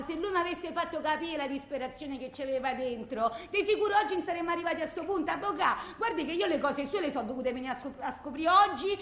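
An actress playing a bitter, distraught blind widow in a theatrical monologue, rambling plaintively in a colloquial Roman accent. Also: female voice, sits high, a bit bright. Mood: subdued, rueful, then bitter, agitated. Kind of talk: theatrical phone-message monologue. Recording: echoey room.

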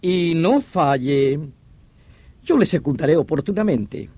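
An actor playing a scheming, authoritative boss in a radio drama, conspiratorial and theatrical. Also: male voice, fairly high pitch, animated, measured pace, warm tone, no room sound, muffled recording.

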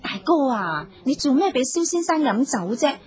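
A woman's voice: sits high, very animated, normal speed. A woman playing a chatty, meddling young secretary in a radio drama, coaxing and gossipy. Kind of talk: Cantonese radio drama acting.

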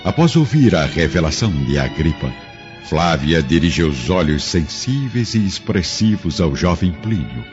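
A man narrating a radio drama in a solemn, literary, dramatic style. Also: low voice. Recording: dull lo-fi radio drama, music behind.

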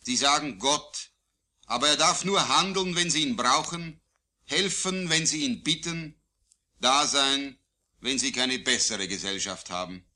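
A man reciting his own poetry solemnly, in a declamatory, deliberate way with phrases falling at the line endings. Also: mid-range voice, bright, forceful tone. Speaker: poet. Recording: digitized vintage vinyl record.

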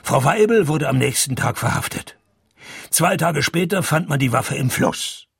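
An actor playing a sardonic, laconic private detective in a radio play, with a gravelly voice and dry wit. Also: male voice, fairly high pitch, animated, moderate pace, dry recording, full character voice. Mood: dry, matter-of-fact. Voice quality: gravelly, creaky. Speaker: narrator and lead. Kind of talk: radio play narration and dialogue.

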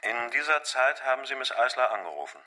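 Ein Schauspieler spielt in einem deutschen Krimihörspiel einen jungen, förmlich und bestimmt fragenden FBI-Beamten. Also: male voice, medium pitch, sing-song, fairly quick, bright, forceful tone. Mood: formal, controlled. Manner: formal, clipped, insistent. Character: formal young FBI agent conducting an interrogation. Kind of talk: German radio crime drama acting.

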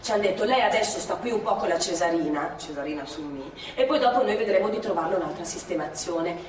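A woman's voice: fairly low pitch, lively intonation, quick pace, close to an everyday voice. Actress as elderly woman, partisan host, chatty and repetitive, live theatre, distant, echoey with faint noise. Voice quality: conversational, repeats herself for effect. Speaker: actress in a stage play. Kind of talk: theatrical monologue, recorded live. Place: theatre stage. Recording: echoey theatre stage, mic far off.

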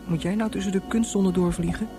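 Anxious young boy, reproachful, fast-talking, radio play, music behind. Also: high-pitched, fairly even intonation. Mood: anxious, pleading. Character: anxious, reproachful young son of a space pilot. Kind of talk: Dutch radio drama acting. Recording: music under the voice.

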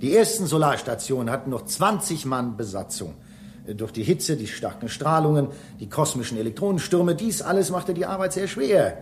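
A man's voice: a little high, animated, moderate pace, slight background noise. A man playing a human space-station engineer in a radio drama, explaining things emphatically and with some impatience. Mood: lecturing. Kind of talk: German radio drama acting.

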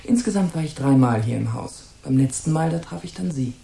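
Haughty, gravelly-voiced femme fatale. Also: female voice, low voice, expressive, fairly quick, dark tone, slight background noise, full character voice. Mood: explaining, terse. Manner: disdainful, imperious, guarded. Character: haughty, suspicious, scornful married femme fatale. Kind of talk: German radio crime drama acting. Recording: roomy echo.

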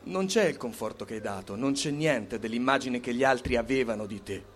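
A man voicing the inner unconscious of a character in a dramatic reading, calm, cold and ominous, speaking slowly and solemnly. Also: medium pitch, pitched below the performer's own voice, lightly acted. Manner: slow, solemn, measured. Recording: clear background noise.

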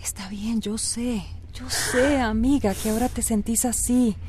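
A woman's voice: fairly high pitch, steady tone, unhurried, background noise, close to an everyday voice. A Costa Rican woman playing a firm, loyal lawyer friend in a radio drama, encouraging and insistent. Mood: reassuring, firm.

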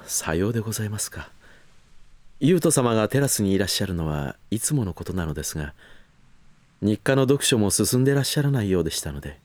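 An actor playing an attentive, deferential male servant in a voice drama, speaking in a very formal, courteous, measured way. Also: lowish voice, very animated, darkish tone, no echo. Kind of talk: Japanese voice drama acting.

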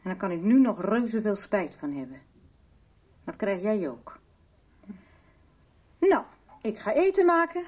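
Bossy no-nonsense woman in a radio soap, bit husky, very up and down, tells people off. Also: mid-range voice, sing-song, a little slow, long pauses, a little mellow. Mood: earnest advice. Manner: chiding, frank, colloquial. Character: blunt, bossy, sensible adult woman in a household.

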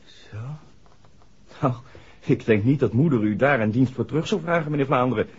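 An actor playing a polite, guarded young garage mechanic with a Netherlands Dutch accent in a 1960s radio drama. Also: male voice, medium pitch, normal speed, darkish tone, husky. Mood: guarded, wary. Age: young adult. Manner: deferential, reticent. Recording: muffled old radio recording.

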